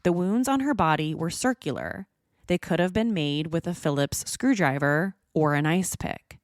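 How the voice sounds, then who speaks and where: A woman's voice: lowish voice, lively intonation, moderate pace, no room sound, clean studio sound. A woman hosting a podcast, reading scripted true-crime narration. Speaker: podcast host.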